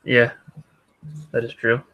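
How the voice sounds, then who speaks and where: A young man chatting casually as a guest, with a dry, deadpan way of teasing. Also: slow, dull audio. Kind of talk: casual chat.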